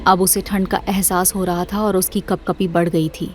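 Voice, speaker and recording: A woman's voice: fairly low pitch, level tone, moderate pace, a little mellow, a little hiss or hum. A woman narrating a scripted audio drama as its storyteller.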